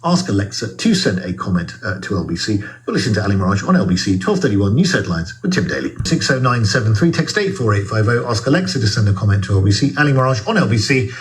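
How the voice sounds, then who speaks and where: A man's voice: on the low side, very animated, fast talker. A man presenting talk radio, reeling off contact details and news handovers. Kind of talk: brisk station links with contact details.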